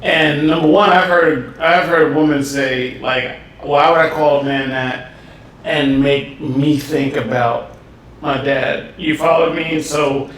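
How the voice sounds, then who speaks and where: A man hosts a relaxed podcast chat, drawing his words out in a playful, easygoing way. His voice sits a bit high for a man and comes from some way off the mic. The home room around him rings with strong echo, and a faint hiss runs underneath. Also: recorded from a distance. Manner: laid-back, drawn-out, playful. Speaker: podcast host. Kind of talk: casual chat.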